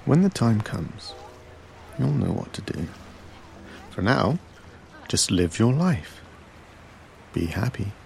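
An actor playing a calm, controlling resistance agent in an audio drama, speaking in a deliberate, gravelly way. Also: male voice, lowish voice, a little hiss or hum, full character voice. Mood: measured, cryptic. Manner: slow, deliberate, measured.

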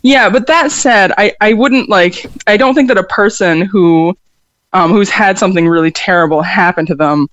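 A woman's voice: mid-range voice, normal speed, a bit bright, no room sound. An American woman from upstate New York and Vermont, speaking as a songwriter in an interview, hesitant, with many fillers and restarts. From upstate New York, raised in Vermont. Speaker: songwriter guest. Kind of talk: interview conversation.

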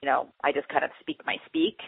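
A woman's voice: low voice, big pitch swings, fast, vocal fry, breathy edge. A woman podcast host talking in a rambling, chatty way with frequent laughs and fillers. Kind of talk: casual interview chat. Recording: tinny phone-like line.

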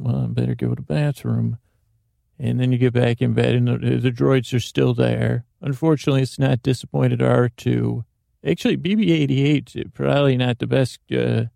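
Gravelly, mellow-voiced sleep podcast host. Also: male voice, mid-range voice, no room sound, clean studio sound. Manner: slow, meandering, self-interrupting. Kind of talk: rambling bedtime monologue.

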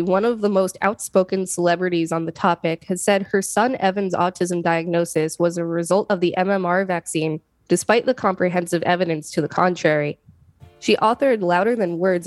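A woman with a somewhat low voice co-hosts a podcast. She reads article text aloud and drops in casual opinions. Soft music plays faintly behind her. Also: moderate pace, no echo. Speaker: podcast co-host.

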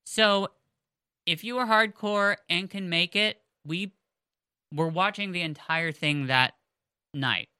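A woman's voice: fairly low pitch, takes it slowly, long pauses, bright, forceful tone, clear, resonant tone, no echo, clean studio sound. A woman, co-hosting a podcast in a chatty, rambling way with fillers and restarts. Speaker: podcast co-host. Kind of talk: casual podcast chat. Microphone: headphones on, close mic.

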